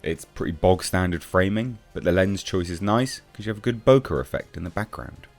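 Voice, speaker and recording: A man's voice: deep voice, animated, fairly quick, soft background music. A man giving casual, off-the-cuff commentary on film news as a reviewer, blunt and sceptical in manner. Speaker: film reviewer, channel host.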